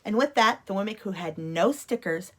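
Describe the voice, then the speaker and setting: Woman reading a storybook, medium pitch. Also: lively intonation, normal speed, fairly bright tone, slight background noise. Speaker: teacher reading aloud. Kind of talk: expressive children's storybook read-aloud.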